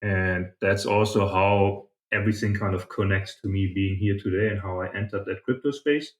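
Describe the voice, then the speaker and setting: A man speaks as an interview guest in a low voice. He is conversational and reflective, and the recording is noticeably roomy and echoey. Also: steady tone, moderate pace. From southern Germany, partly grew up in China. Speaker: podcast guest, startup founder. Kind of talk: casual interview answers.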